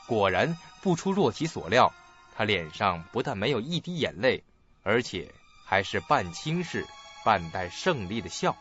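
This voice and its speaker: Low-voiced male drama narrator. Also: sing-song, normal speed. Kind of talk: dramatic scripted story narration. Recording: muffled dull recording with loud music.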